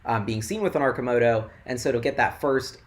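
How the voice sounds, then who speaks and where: A young man chats loosely on a livestream, tumbling from one excited thought to the next with filler words everywhere. His voice sits at an ordinary middle pitch and is wearing thin and hoarse. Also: fairly quick. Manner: rambling and excitable, heavy "like" filler. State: voice going hoarse. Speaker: investing livestream host. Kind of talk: casual livestream chat.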